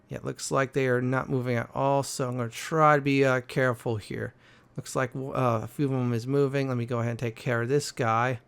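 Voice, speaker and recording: A man giving casual, halting gameplay commentary as a gaming channel host. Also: mid-range voice, vocal fry, fairly bright tone, smooth. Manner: halting, frequent hesitations.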